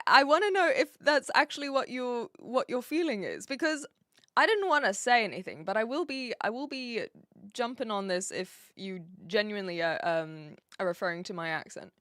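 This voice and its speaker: A woman's voice: fairly high pitch, expressive, normal speed, sharp tone, no room sound. Young nz woman streaming, kinda british-sounding accent, rambly and laughy, dry close mic. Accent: blended New Zealand and British-leaning. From New Zealand. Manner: chatty, rambling, laughs often, answers chat directly. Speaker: just chatting streamer. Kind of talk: casual live stream chat reading viewer messages.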